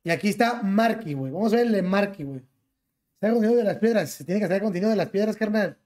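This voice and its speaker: A man's voice: sits high, rapid-fire, a little mellow. A young man with a Mexican accent, streaming from his bedroom through a gaming headset and ranting as he reacts to clips, piling slang onto every phrase. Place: small bedroom.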